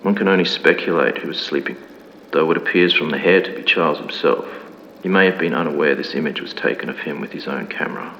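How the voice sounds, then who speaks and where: Deep, echoing lo-fi archival documentary narrator. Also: male voice. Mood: solemn, grave. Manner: formal, measured, grave. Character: solemn male 1950s archival documentary narrator reporting a mystery. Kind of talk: scripted mock-documentary narration for analog horror. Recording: dull lo-fi, long reverb, clear background noise.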